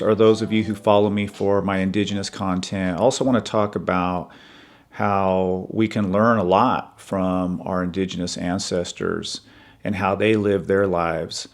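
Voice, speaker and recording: A man in his fifties hosting a podcast, talking earnestly and in a measured, conversational way about his own life. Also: low-pitched. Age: 50s. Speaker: podcast host. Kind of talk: personal reflective monologue.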